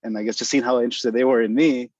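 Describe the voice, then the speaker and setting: A man's voice: medium pitch, steady tone, rapid-fire, darkish tone, breathy edge, no room sound. A young man from Wisconsin, born in Guatemala, answering a panel question over a video call, hesitant with many "uh" and "I guess" fillers. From United States (Wisconsin), born in Guatemala. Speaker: study abroad alumnus panelist. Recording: dull lo-fi video call audio.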